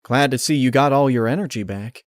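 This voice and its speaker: A man's voice: medium pitch, fairly quick, dry recording, studio quality, voice pushed up, close to an everyday voice. A man voicing a good-natured, weary female farm pony in a story, with a mild, resigned, folksy manner. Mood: friendly.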